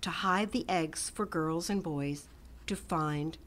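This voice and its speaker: A middle-aged woman reading a children's picture book aloud with gentle, expressive storytelling and soft whispered asides. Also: low voice, takes it slowly. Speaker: storytime reader. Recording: music playing under the voice.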